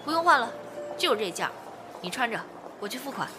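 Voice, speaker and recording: A woman's voice: a little high, sing-song, a little fast. A woman acting a devoted young lover, firm yet tender, in a modern Chinese audio drama, with music underneath. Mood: teasing, tender.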